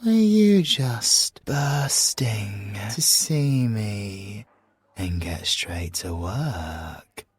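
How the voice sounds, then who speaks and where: A man's voice: fairly low pitch, lively intonation, breathy edge, no room sound, heavily acted. A man with a British accent playing a suave, flirtatious former special forces soldier in an ASMR audio roleplay, teasing and theatrical, drawing out his words and slipping into whispers. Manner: teasing, drawn-out, theatrical delivery with whispered asides. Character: suave, flirtatious, possessive male ex-special-forces bomb expert.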